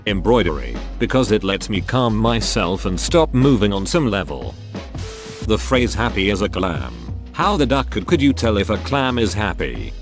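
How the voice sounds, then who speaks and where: A man reading out internet forum posts as scripted narration, delivered in short separate statements. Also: lowish voice.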